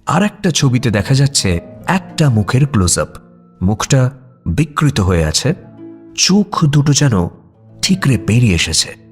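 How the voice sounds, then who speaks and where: A man's voice: mid-range voice, sing-song, measured pace, long pauses. A man narrating a scripted suspense story in a descriptive, dramatic way, with music and crickets behind him. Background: music bed with cricket sounds.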